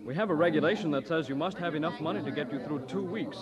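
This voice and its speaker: An actor playing a matter-of-fact, affable immigration officer in an audio drama. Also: male voice, fairly high pitch, fast talker, natural voice. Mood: businesslike. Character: businesslike, easygoing male immigration officer. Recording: dull sound, busy crowd behind.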